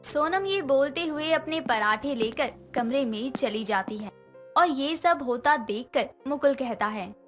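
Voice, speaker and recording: Female narrator for moral stories, high register, light music bed. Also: expressive, measured pace, fairly bright tone. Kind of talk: scripted story narration.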